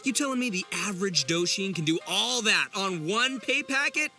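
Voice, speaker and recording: A man's voice: high-pitched, expressive, normal speed, bright, forceful tone, soft background music, exaggerated voice. An actor playing a brash, gullible, overconfident young oni man in a video game, with boastful, theatrical, slangy delivery. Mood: suspicious. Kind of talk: animated video game voice acting.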